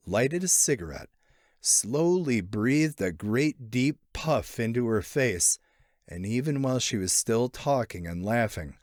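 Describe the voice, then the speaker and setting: A man narrating a literary short story in an expressive, theatrical way. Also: on the low side, no echo, studio quality. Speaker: audiobook narrator.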